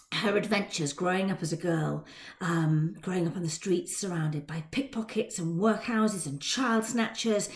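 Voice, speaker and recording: A woman teacher and author talking to students and reading a story aloud in a dramatic, expressive way. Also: fairly low pitch. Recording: roomy indoor echo.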